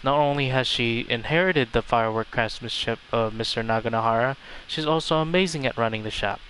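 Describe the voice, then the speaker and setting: A man's voice: mid-range voice, a bit bright, a little hiss or hum, poor audio. A man livestreaming a video game playthrough, reacting casually and reading the dialogue aloud. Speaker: game streamer. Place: voice over video game audio.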